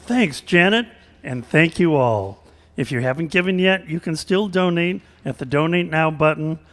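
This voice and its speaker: A man's voice: on the high side, lively intonation, moderate pace. A man hosting a radio theater show, giving casual, jokey thanks to performers and audience.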